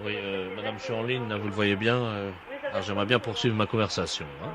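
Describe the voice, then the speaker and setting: Man playing a hurried, harried official. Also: lowish voice, fairly even intonation, over a noisy crowd, close to an everyday voice. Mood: exasperated. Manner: hurried, exasperated, polite formal phrasing. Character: harried, self-important prefecture official in a phone booth. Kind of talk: prank phone call.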